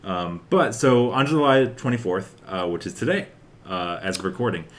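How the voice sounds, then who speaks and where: Low-voiced young man, rambling podcast chat. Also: normal speed. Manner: rambling, peppered with "like" and "uh". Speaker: podcast host. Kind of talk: casual chat about video game news.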